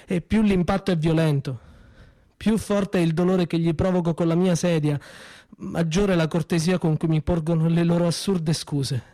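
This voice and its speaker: Actor as a sardonic, high-voiced injured workman. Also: fairly even intonation, moderate pace, dark tone, lightly acted. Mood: bitter irony. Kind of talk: theatrical monologue in a radio play.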